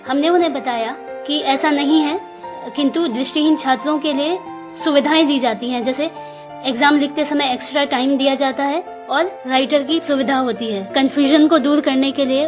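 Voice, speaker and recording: An Indian woman with a high voice gives an explanatory account as a help desk worker. She drops in English terms, and music plays clearly behind her. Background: clear background music.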